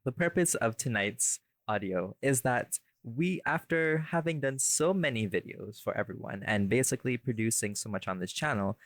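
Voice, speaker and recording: A man's voice: mid-range voice, animated, normal speed, few pauses, fairly bright tone, dry recording. A man hosting a casual podcast, bantering and rambling as he corrects himself and slips into playful mock-formal introductions. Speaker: podcast host.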